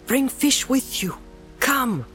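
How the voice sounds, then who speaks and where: A man voicing an earnest young male hobbit in an audiobook, pleading and coaxing with gentle, firm command. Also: very high voice, very animated, slow pace, faint music behind, voice pushed up, full character voice. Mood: desperate, urgent. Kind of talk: audiobook character voice.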